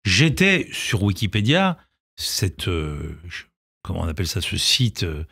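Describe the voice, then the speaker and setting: A middle-aged man with a low voice is a guest on a radio show. He talks in halting bursts, restarting phrases before driving a point home with emphasis. The sound is dry and close, like a studio mic. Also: huge pitch swings, gravelly, sharp tone, husky, no echo. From Israel, grew up in France. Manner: hesitant, many restarts, then emphatic. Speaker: film director and actor, guest. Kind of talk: radio interview answers.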